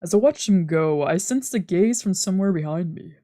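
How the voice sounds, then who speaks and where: A woman streamer reading visual novel narration aloud, plain and steady, with playful asides. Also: lowish voice, a little fast.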